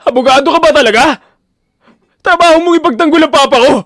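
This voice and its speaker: A man's voice: extremely high pitch, a little fast, a bit bright, dry recording, clean studio sound, voice pushed up. A man playing an anguished, fiercely protective young son in an emotional audio drama. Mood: angry, desperate. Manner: emotional, pleading, confrontational.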